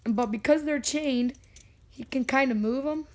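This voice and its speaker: High, hoarse, chatty young vlogger. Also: unhurried. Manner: chatty, jokey, self-talking. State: hoarse, lost voice. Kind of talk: casual unboxing vlog.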